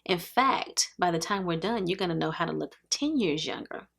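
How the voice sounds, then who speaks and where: A woman's voice: fairly low pitch, lively intonation, normal speed, gravelly. A woman in her forties hosting a makeup tutorial, chatting to camera in an earnest, emphatic way and repeating points for stress. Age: 40s. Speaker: beauty vlogger.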